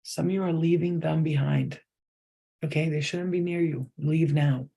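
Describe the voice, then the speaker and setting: Guy doing a psychic reading for viewers, mellow husky voice, keeps repeating stuff for emphasis. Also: on the high side, fairly even intonation, normal speed. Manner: emphatic, repetitive, talks straight to the viewer. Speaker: psychic reader. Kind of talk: channeled tarot-style reading to viewers.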